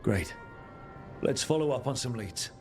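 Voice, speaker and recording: A man's voice: medium pitch, animated, measured pace, a little mellow, soft background music, close to an everyday voice. A man playing an earnest, resolute young leader of heroes in a fantasy game. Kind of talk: dramatic video game acting.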